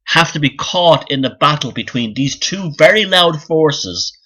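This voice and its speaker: Bright-voiced man in an opinionated monologue. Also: a little high, animated, a little slow, breathy edge. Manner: blunt, sweary, scornful. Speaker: YouTube commentator and author.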